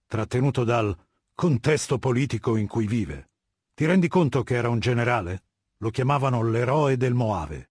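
An actor voicing a cold, haughty middle-aged warlord dictator in a video game, lecturing in a measured, commanding and disdainful way. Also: male voice, medium pitch, breathy edge, dry recording, studio quality, close to an everyday voice. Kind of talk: video game character dialogue.

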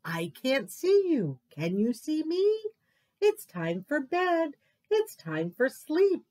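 A playful daddy mouse, as a woman reading a bedtime book puts him on calls out for his hiding little one in a sing-song rhyme. The voice is fairly high and bouncy, with wide swoops of pitch. It stays unhurried and gentle, close to the reader's own voice. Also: huge pitch swings, full character voice. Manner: sing-song rhyming chant.

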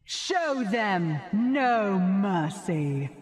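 A woman voicing an imperious fantasy heroine in a video game, giving commanding, drawn-out orders. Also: mid-range voice, big pitch swings. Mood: commanding, merciless. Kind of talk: video game character voice lines. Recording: slight long hall reverb.

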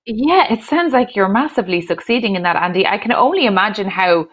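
A woman's voice: mid-range voice, lively intonation, brisk, fairly bright tone. A woman in her thirties hosting a conversational online interview in an effusive, encouraging way over a video call. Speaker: host, interviewer. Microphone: headset on video call.

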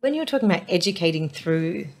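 Female interview host, 40s, deep voice, effusive and chatty. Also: expressive, fast talker, a little mellow. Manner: effusive, gushing, many affirmations. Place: bright studio set, indoors.

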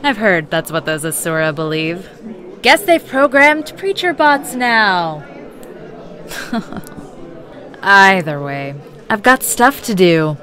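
Brash sarcastic warrior woman, acted, unhurried. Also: mid-range voice, very animated, pushed and bright, exaggerated voice. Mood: mocking. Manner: mocking, combative. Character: brash, sarcastic, hard-drinking female warrior. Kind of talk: fan audio drama acting.

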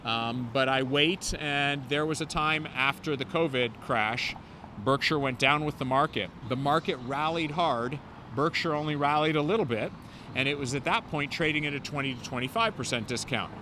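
A man's voice: a little high, moderate pace. A man in his fifties explaining investing as an interview guest, confident and fluent, speaking into a handheld mic on a city sidewalk. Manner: fluent and confident, many "um" fillers. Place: city sidewalk outdoors, traffic passing. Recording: outdoor street recording with clear traffic noise.

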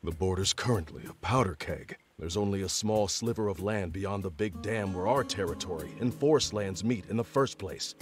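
An actor playing a gruff, shrewd military officer in a video game, matter-of-fact in delivery. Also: male voice, sits low, moderate pace, hardly any pauses, faint music behind, natural voice. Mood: cautioning.

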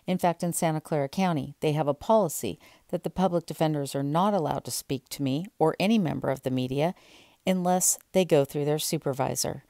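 A woman's voice: low voice, a little fast, warm tone, no echo, studio quality. A woman in her thirties, an independent court reporter, speaking earnestly and pointedly to camera in an indoor hallway. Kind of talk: to-camera commentary.

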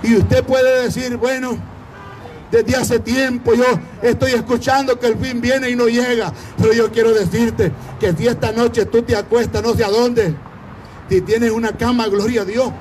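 High-pitched fervent Latin American preacher man. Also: fairly even intonation, moderate pace, darkish tone, soft background music, low-quality recording. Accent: Latin American Spanish. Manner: fervent, declamatory, exhorting. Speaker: evangelical preacher. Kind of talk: evangelistic sermon.

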